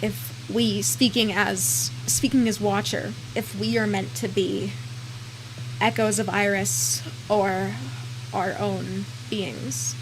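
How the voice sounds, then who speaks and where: A woman's voice: mid-range voice, flat delivery, slow, background noise. Woman thinking aloud over gameplay. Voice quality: thinking aloud, rambling with restarts. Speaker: let's play streamer. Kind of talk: casual gameplay commentary.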